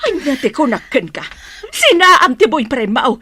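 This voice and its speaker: A woman's voice: sits high, very animated, unhurried, breathy edge, exaggerated voice. A woman playing a stern, suspicious mother in a radio drama, scolding and interrogating with an Ilocano accent. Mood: angry. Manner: sharp, interrogating.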